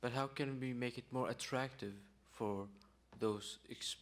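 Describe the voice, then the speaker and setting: A man's voice: mid-range voice, fairly even intonation, unhurried. A teenage young man, a student, hesitantly asking a panel an unscripted question and searching for words. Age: teens.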